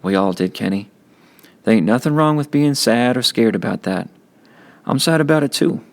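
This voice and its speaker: A man's voice: mid-range voice, expressive, normal speed, close to an everyday voice. Man playing a blunt, caring teenage big brother. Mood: comforting. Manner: blunt, slangy, gruffly reassuring. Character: tough but caring adolescent big brother. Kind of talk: audiobook character acting.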